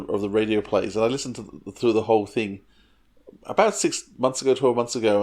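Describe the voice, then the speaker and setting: A man's voice: fairly low pitch, a little mellow. A man co-hosting an audio drama podcast, chatting casually and enthusiastically with frequent restarts. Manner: rambling, enthusiastic, restarts often. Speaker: podcast co-host. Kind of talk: casual chat.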